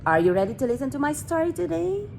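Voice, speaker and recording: A woman reading a children's story aloud in an expressive, deliberate way, drawing out her words. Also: high voice. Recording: clearly noisy amateur recording.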